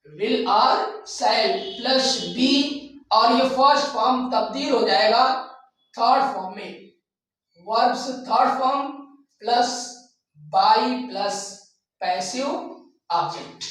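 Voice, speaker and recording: A high-pitched male teacher speaks from well away from the microphone in a heavily reverberant room. He goes slowly through a lesson step by step, restating phrases and asking questions that he answers himself. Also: fairly bright tone. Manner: didactic, repeats phrases and asks rhetorical questions. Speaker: English grammar teacher. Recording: very echoey room, distant microphone.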